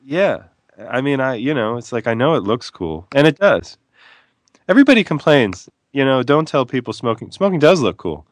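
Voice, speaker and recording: A man's voice: medium pitch, sing-song, normal speed, no room sound. A man who is an author, talking as a guest in a casual radio interview, playful and wry, wandering off on tangents.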